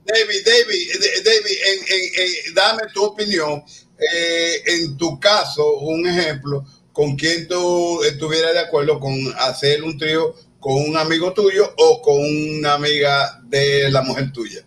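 A man hosting a live streamed talk show, excitable and stammering with fillers. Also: a little high, a little slow, slight background noise.

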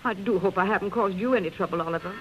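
A woman's voice: medium pitch, very fast, lightly acted. A woman playing a grand, gushing society lady in a 1930s radio drama, theatrical and cajoling. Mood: coaxing, sweet. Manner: affected, theatrical. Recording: muffled, hissy 1930s radio recording.

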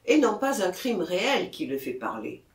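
A man's voice: sits high, very animated, moderate pace, roomy sound, distant mic. A man voicing a patient, concerned male mayor in an audiobook, coaxing and reasoning with authority.

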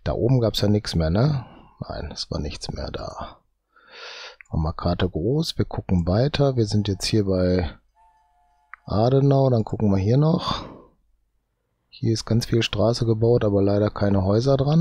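A man with a cold, thinking aloud slowly as he comments on his own gameplay, often tagging lines with "ne?". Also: lowish voice, expressive, dark tone, breathy edge, no room sound. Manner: muttering, drawn-out, tags lines with "ne?". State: has a cold. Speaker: let's play host.

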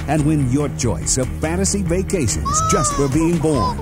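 A man's voice: fairly high pitch, lively intonation, normal speed. A man reading scripted promos as the announcer of a Top 40 radio station. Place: radio studio. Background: loud music bed.

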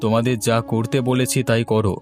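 A man's voice: medium pitch, level tone, brisk, over music, natural voice. A man playing an anxious king and father in a fairy-tale cartoon, speaking in a dramatic, emphatic and commanding way. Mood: stern. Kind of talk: Bengali fairy-tale cartoon dub acting.

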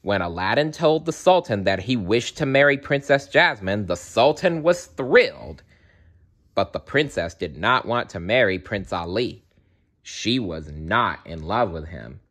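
A man reading a children's storybook aloud in an animated style, with playful asides to the listener. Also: lowish voice, measured pace. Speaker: storybook reader.

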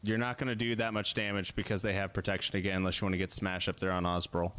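Low-voiced man chatting over a game. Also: little pitch movement, fast, fairly bright tone, clear, resonant tone. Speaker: game streamer. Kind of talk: casual co-op gameplay chat. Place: small home streaming room. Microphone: close microphone on boom arm, headset.

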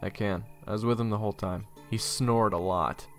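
A man playing a grim, watchful adventurer who narrates a fantasy audio drama gravely and deliberately. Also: on the low side, fairly even intonation, a bit bright, lightly acted. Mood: grim, wary. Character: grim, watchful male fantasy adventurer telling his tale. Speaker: narrator and character. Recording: music playing behind. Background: background music.

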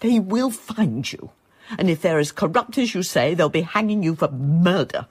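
An actress playing an elderly titled lady, imperious, anxious and husky, in a radio drama. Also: on the low side, lively intonation, normal speed. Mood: anxious, firm.

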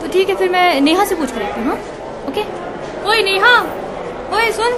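A young college girl with a high voice in a radio play. She is friendly and persuasive, chatting in casual slang. A crowd babbles noisily behind her. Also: big pitch swings, normal speed. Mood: eager, chatty. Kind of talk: radio drama acting in casual Hindi-English slang. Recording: noisy, crowded classroom ambience behind.

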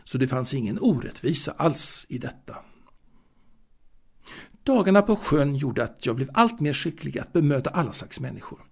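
An actor playing a fastidious, proud waiter and former seaman in an audio drama monologue, confiding in a halting, repetitive way. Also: mid-range voice, expressive, gravelly, a little mellow, husky. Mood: wistful, confiding. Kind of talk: intimate dramatic monologue, told to a listener.

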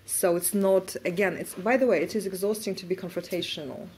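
A woman in her forties speaking as a guest on a conference stage, animated as she makes her points. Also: on the low side, a little fast, slight background noise. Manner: animated, gestures as she talks. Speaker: panel guest. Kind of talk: fireside chat on stage. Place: conference stage before an audience. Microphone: lapel mic.

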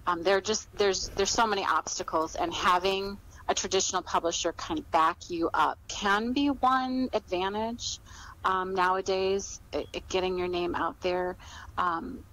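A woman with an American accent speaking as an author in a podcast interview, explaining things in a measured, conversational way. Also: fairly low pitch, fairly even intonation, a bit bright, low-quality recording.

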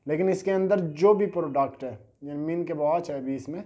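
A man's voice: a little high, fairly quick, dark tone. A man in his thirties from Pakistan, casually showing gadgets for sale at a table in a small indoor studio, talking in a chatty, hesitant way on a lapel mic. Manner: chatty, hesitant, repeats fillers and sales catchphrases. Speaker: online gadget seller. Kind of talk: casual product unboxing.

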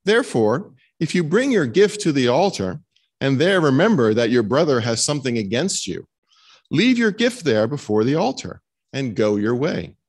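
A middle-aged man reads religious texts aloud as a minister in a chapel. His delivery is formal and measured, with a medium-pitched voice that rises and falls widely. He speaks into a lectern microphone. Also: very animated, darkish tone, slightly rough. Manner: formal, measured, reading from a text. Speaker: minister leading a church service. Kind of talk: solemn scripture and doctrinal reading aloud. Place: stone chapel, indoors. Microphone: lectern microphone on a stand.

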